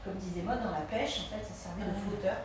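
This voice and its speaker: A woman's voice: medium pitch, fast talker, over a noisy crowd. A woman from Portugal who runs a cork business, telling a small group about cork in a chatty, hesitant way with many fillers. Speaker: cork business owner presenting a workshop. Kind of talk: informal talk to a small group. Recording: echoey room, distant mic, background noise.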